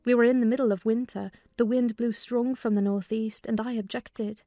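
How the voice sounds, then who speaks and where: A woman playing a sensible, scolding housekeeper who narrates a dramatized classic novel, measured in storytelling and firm and brisk in dialogue. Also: fairly high pitch, fairly even intonation, dark tone, dry recording, studio quality, close to an everyday voice. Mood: measured, concerned. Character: sensible, scolding housekeeper recounting her mistress's illness.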